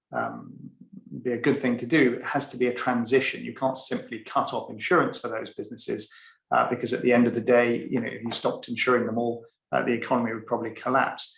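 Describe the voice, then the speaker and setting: A man's voice: mid-range voice, creaky, fairly bright tone. A man on a webinar panel, explaining an insurance executive's view in a conversational, step-by-step way with fillers over a video call. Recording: echoey room.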